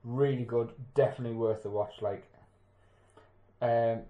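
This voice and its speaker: Male co-host, relaxed chat, middle pitch, filler-heavy, echoey room sound. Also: a little slow, muffled recording. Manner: easygoing, lots of fillers and teasing. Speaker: podcast co-host. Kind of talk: casual chat over beers.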